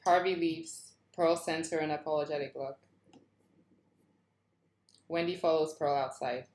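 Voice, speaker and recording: A woman's voice: deep voice, fairly even intonation, unhurried, darkish tone. A woman reading screenplay stage directions as a narrator at a table read. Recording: echoey room.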